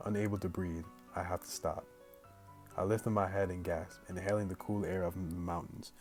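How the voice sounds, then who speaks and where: Low-voiced man with music behind him. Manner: slangy, animated asides, breaks into laughter. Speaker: gaming video host reading a visual novel aloud. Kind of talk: casual let's play, reading story aloud with excited reactions.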